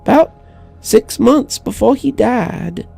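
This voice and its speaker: Elderly grieving widow male reader acting her: heavily gravelled, mellow, wistful and halting, audio horror story. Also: very high voice, lively intonation, soft background music, voice pushed up, heavily acted.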